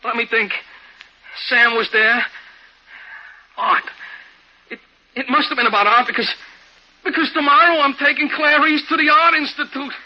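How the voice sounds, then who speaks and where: Simple working guy, very high pitch, excitable, stammering, vintage radio comedy-drama, muffled recording. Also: normal speed, pauses often. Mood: worried. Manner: excitable, stammering, plain unschooled talk. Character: simple, anxious, eager working man in love. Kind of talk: 1950s radio drama acting.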